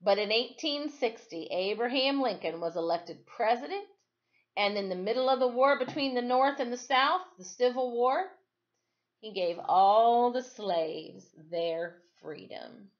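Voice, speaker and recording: A woman's voice: fairly high pitch, lively intonation, unhurried, lo-fi sound. A woman voicing a plainspoken, formerly enslaved storybook narrator who recalls the past in a folksy, emphatic, reflective way. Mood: proud, hopeful.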